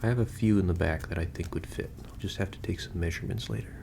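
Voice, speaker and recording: An easygoing, goofy male corpse stitcher, played by a man in an audio roleplay, with a very deep voice full of gravelly fry. He rambles haltingly and matter-of-factly, as if chatting to someone on his worktable. Clear background noise runs under the homemade recording. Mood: matter-of-fact, casual. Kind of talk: casual roleplay monologue to a listener. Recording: noisy home recording with ambience.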